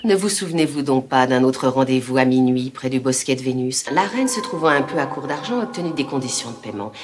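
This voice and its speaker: A woman playing a scheming, insinuating aristocratic lady in formal, deliberate cartoon dubbing. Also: a bass voice, animated, music in the background. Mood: sly, persuasive. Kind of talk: French-dubbed cartoon acting. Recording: echoey hall with long reverb.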